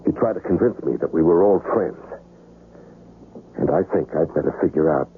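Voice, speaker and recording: A man's voice: rumbling low, normal speed. An actor playing a guarded, world-weary man with a shady past, telling his own story in a 1970s radio drama. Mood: bitter. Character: brooding, guarded amnesiac man in a dangerous trade. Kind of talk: 1970s radio drama acting with first-person narration. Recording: muffled, noisy vintage radio recording.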